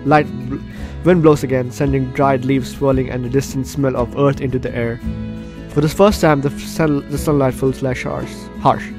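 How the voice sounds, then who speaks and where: A man's voice: medium pitch, fairly even intonation, normal speed. Man reading game text, stumbling, over music. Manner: stumbling reader, repeats and corrects words. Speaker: gaming video host.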